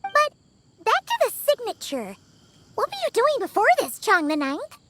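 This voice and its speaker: A woman voicing a tiny, chatty, childlike floating companion in an animated game, with a squeaky, eager delivery. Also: measured pace, a little hiss or hum, full character voice. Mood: cheerful. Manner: exclamatory, eager.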